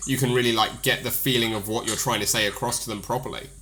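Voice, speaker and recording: A man's voice: medium pitch, steady tone, quick pace, pushed and bright, slight background noise. A man from the UK hosting an interview, rambling through questions with lots of "like" and easy laughs. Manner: rambling, filler-heavy, friendly, laughs easily. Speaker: podcast interviewer. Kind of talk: casual interview chat.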